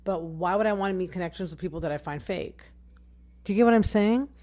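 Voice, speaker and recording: A woman in her thirties chats through a podcast recap in a slightly low voice. She is highly expressive, and her pitch swings up and down as she talks. She rambles loosely, with plenty of fillers and restarts. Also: fast talker. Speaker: podcast host. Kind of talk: casual reality TV recap.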